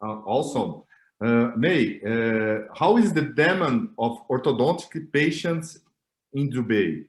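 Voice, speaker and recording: A man's voice: medium pitch, expressive, takes it slowly, echoey room. A man hosting a casual interview over a video call, asking short questions with fillers. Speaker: interview host.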